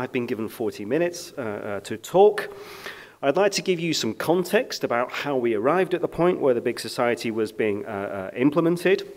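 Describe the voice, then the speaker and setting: A British man giving a conference keynote speech on a stage, talking with frequent ums and uhs and a self-deprecating touch. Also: medium pitch. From United Kingdom. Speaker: keynote speaker. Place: conference stage under lights.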